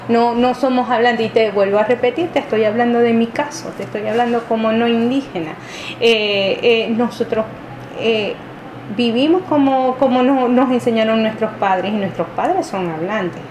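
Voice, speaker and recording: A woman from Venezuela answering interview questions thoughtfully, hesitating often, with many fillers and restarts. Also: a little high. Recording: echoey room with clear background noise.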